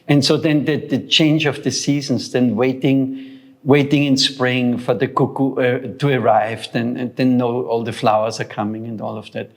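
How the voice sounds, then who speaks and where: A man's voice: medium pitch, steady tone, measured pace. Reflective older man from Austria. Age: 60s. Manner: thoughtful, hesitant, many fillers and restarts. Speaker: guest, founder of a sound center. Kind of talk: reflective interview talk.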